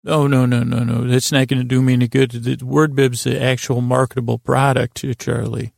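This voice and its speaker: A man playing a bumbling, earnest talk-show guest who makes a flustered, insistent sales pitch in a gravelly voice. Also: little pitch movement, brisk, darkish tone, no echo, clean studio sound.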